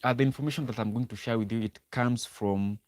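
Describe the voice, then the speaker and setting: A man's voice: medium pitch, fairly even intonation, fast talker, a little mellow, dry recording. Kenyan man chatting on a livestream. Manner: repeats words and restarts often, reads chat aloud. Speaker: livestream host. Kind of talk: casual livestream talk to viewers.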